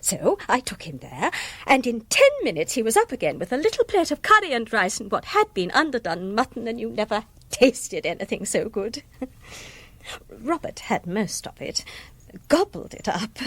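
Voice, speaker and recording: An actress playing an excitable, effusive English society lady in a radio comedy, with an upper-class accent and a confiding, emphatic manner. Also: fairly high pitch, normal speed, no echo, exaggerated voice. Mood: gushing. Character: gushing, excitable society lady besotted with her guru. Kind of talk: British radio comedy drama acting.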